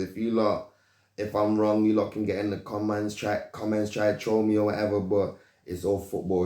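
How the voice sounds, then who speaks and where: A man's voice: deep voice, warm tone, reverberant. A man whose first language is not English, hosting his own football channel, talking casually in a rambling, slangy way with many restarts, into a desk mic at home. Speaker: football pundit, channel host. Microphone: desk mic, loose.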